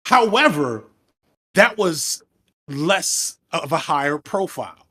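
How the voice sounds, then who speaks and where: A man in his thirties, a former teacher, talking over a headset on a video call in a reflective, fast, self-interrupting way. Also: fairly high pitch, sing-song, bright, forceful tone, husky. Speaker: guest, video essayist. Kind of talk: casual video call chat. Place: room at home indoors.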